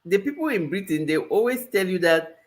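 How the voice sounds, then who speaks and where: A woman's voice: on the low side, very animated, a little fast. A woman from Cameroon with a Cameroonian accent, a life coach talking live to her viewers in an earnest way and repeating phrases for emphasis. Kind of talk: live-stream talk to viewers.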